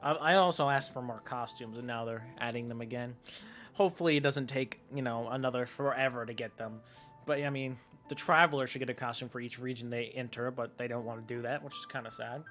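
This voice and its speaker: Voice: mid-range voice, steady tone, fairly quick, a bit bright, faint music behind. A video game streamer giving casual, rambling commentary with joking asides.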